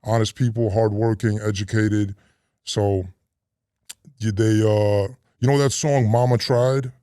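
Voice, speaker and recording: A middle-aged man talking casually as an interview guest, relaxed and meandering with frequent restarts. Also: lowish voice, measured pace, smooth, no echo, studio quality. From grew up in a Russian immigrant neighborhood in the US. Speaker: interview guest, retired robber.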